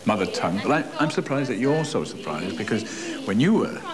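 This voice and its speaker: A man presenting a television programme, asking questions in a conversational, gently teasing way. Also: lowish voice, very animated, a little fast, a crowd in the background. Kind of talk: interview questions. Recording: 1980s TV film with long reverb, light hiss.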